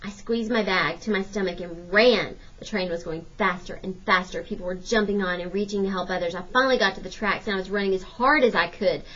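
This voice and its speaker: A woman's voice: mid-range voice, a little fast, few pauses, fairly bright tone. A woman narrating a children's novel aloud like a teacher reading to pupils. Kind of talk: storybook narration. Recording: slightly dull, lo-fi audio.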